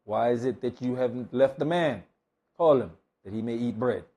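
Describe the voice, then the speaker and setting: A man serving as scripture reader at a Bible study, measured and plain in delivery. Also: medium pitch, warm tone. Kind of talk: reading scripture aloud, brief comments.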